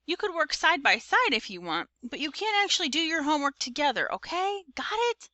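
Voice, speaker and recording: A woman voicing a female schoolteacher in a storybook, measured, instructive and gently admonishing. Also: sits high, sing-song, no echo, pitched above the performer's own voice, exaggerated voice. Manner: instructive, checking for understanding.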